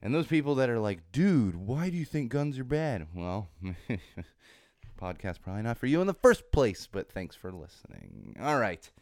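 Chatty podcast host with a cold. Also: male voice, medium pitch, sing-song, normal speed, a little mellow, no echo, studio quality. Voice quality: slightly hoarse, sore throat. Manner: chatty and rambling, with frequent restarts and laughs. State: congested, recovering from a cold. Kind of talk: casual solo podcast chat.

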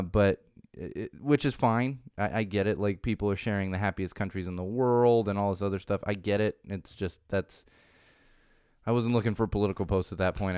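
A man's voice: medium pitch, smooth, no room sound, studio quality. A young man from Southern California hosting a solo podcast, rambling wryly about social media trends with many restarts and self-deprecating asides. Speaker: podcast host. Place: home studio.

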